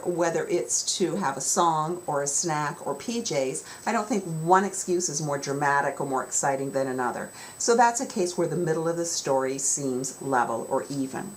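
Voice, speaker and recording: Lady author explaining story stuff to kids, kinda low voice, storyteller emphasis, background noise. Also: fairly bright tone. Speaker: children's author teaching story writing. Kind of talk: instructional talk to young students.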